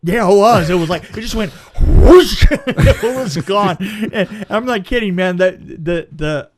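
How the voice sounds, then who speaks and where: A man's voice: high voice, animated, measured pace. A man telling a casual anecdote as a film crew guest, stammering and repeating words as he goes.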